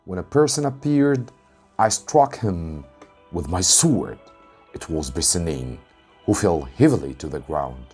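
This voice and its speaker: Low-voiced man reading a story dramatically. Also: expressive, unhurried, darkish tone, slightly rough, soft background music. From Egypt. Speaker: English teacher reading a story aloud.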